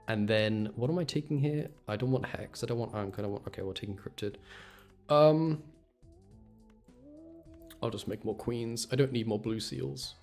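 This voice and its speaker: Low-voiced young man chatting on stream. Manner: rambling, thinking aloud. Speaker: game streamer. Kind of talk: casual gaming stream chat.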